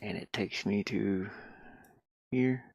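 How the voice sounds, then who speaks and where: A man with a fairly low, gravelly voice talks himself through a game-building task. He goes very slowly, muttering in short, casual fragments. The sound is dry, with little room around it. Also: dry recording. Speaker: hobby game developer sharing a work session. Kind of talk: casual think-aloud screen commentary while working.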